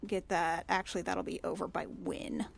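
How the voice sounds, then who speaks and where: A woman's voice: lowish voice, little pitch movement, normal speed, gravelly. A woman playing a tabletop game, casually describing her character's actions and thinking aloud as she goes.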